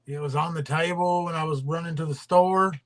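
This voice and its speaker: A man's voice: a little high, fairly even intonation, fairly quick. A man hosting a casual livestream, rambling and drawling, his voice trailing off into mumbles. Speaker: livestream host.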